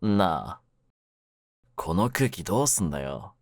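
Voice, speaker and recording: A man playing a menacing young mafia kidnapper in an intimate voice drama, speaking low with rough, smug, teasing words. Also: big pitch swings, a little slow, no echo, studio quality, exaggerated voice. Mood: awkward, softer. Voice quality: low-pitched, hushed at menacing moments. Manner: rough masculine speech, smug mock politeness. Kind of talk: ASMR situation voice drama, intimate one-sided roleplay.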